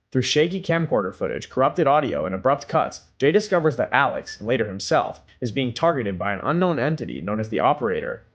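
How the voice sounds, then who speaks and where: A man with a mid-range, somewhat husky voice narrates a scripted explainer. His delivery is measured and documentary-like, with music playing faintly behind him. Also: medium pitch.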